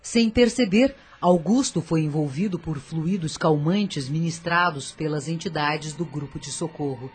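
A woman narrator of a scripted radio drama, husky and dramatic, telling the story with a moralizing turn. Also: low voice, unhurried, hardly any pauses, a little mellow.

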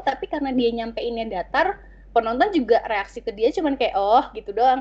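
A woman's voice: a little high, husky, highs cut off. Slangy woman telling a story. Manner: animated, slangy, many fillers and restarts. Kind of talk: casual storytelling chat.

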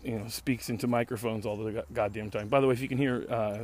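A hoarse man in his late thirties, losing his voice, rambling sardonically and swearing freely in a casual solo podcast monologue recorded outdoors in a park. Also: medium pitch, steady tone, fast, darkish tone, a little hiss or hum. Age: late 30s. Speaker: podcast host.

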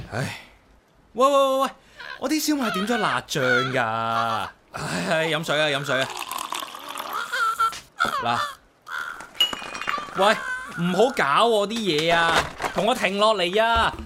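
Casting: an exasperated Hong Kong loner in radio drama, highish voice, very animated, excitable and sarcastic. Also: male voice, unhurried, pauses often, background noise. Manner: grumbling, excitable, muttering to himself. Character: grumpy solitary man, exasperated, animal-hating, sarcastic. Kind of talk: comic radio drama acting and inner monologue.

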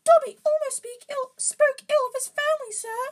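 A woman voicing a small, earnest, servile storybook house elf, very high-pitched, deferential and prone to wailing. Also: moderate pace. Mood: contrite. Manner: deferential, wailing, speaks in third person. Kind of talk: storybook character voice.

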